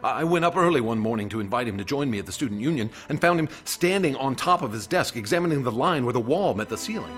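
A man's voice: mid-range voice, expressive, fast, hardly any pauses, fairly bright tone, faint music behind, close to an everyday voice. An actor playing an earnest, worried young male graduate student in a radio drama, speaking expressively and a little hesitantly. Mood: earnest, troubled.

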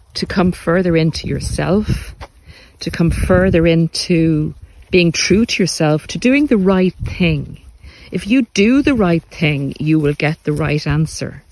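A man giving a motivational spiritual talk as a podcast host, speaking earnestly and deliberately, with repeated phrases for emphasis. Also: on the high side, rough recording. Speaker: podcast host, narcissistic abuse recovery coach.

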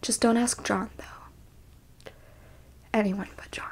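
A woman playing a smug, teasing alien abductor in an ASMR roleplay, speaking softly in breathy half-whispers with condescension. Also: medium pitch, fairly even intonation, takes it slowly. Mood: coolly menacing. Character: smug, teasing female alien abductor examining a captured specimen.

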